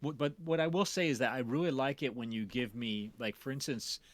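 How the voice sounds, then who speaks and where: A man in casual conversation as a co-host, talking in a halting, reflective, self-correcting way. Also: mid-range voice, no echo. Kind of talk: casual conversation about audio mixing.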